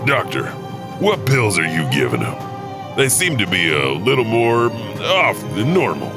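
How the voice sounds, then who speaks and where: A man's voice: on the low side, wildly swooping pitch, measured pace, music in the background, voice pushed up, exaggerated voice. A man playing a dutiful but uneasy asylum orderly in a horror audio drama, questioning and deferential.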